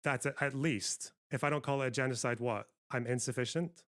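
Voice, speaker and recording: A man with a middling-pitched voice speaks as a debate commentator. He is articulate and insistent, laying out his argument point by point. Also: fairly even intonation, a little fast, fairly bright tone.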